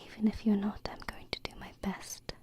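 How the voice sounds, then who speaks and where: A woman voicing a gentle, wistful alien princess in a soft, hesitant whisper for an ASMR roleplay, close to a binaural mic. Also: close to an everyday voice. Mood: hopeful, tender. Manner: hesitant, tender, slow pauses. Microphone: close binaural microphone.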